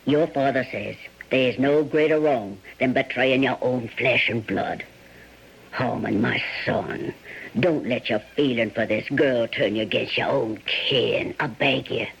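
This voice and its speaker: An actor playing a careworn older farm parent in a radio drama, earnest, folksy and imploring. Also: male voice, mid-range voice, a little slow, gravelly, a bit bright. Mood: alarmed, pleading. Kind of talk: old-time radio drama acting. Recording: slightly noisy radio drama recording.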